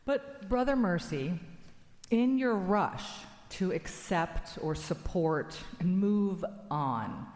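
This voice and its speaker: A woman's voice: fairly low pitch, expressive, slow pace, a little mellow. Woman moderating in an echoey hall. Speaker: panel moderator. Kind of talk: dramatized church reading, probing questions. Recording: echoey hall, long reverb.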